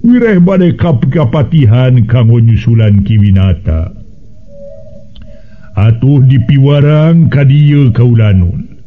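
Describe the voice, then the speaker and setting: A man's voice: mid-range voice, sing-song, takes it slowly. A man voicing a humble, servile royal minister in a radio story, obsequious and formal. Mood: obedient. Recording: dull lo-fi radio recording with music.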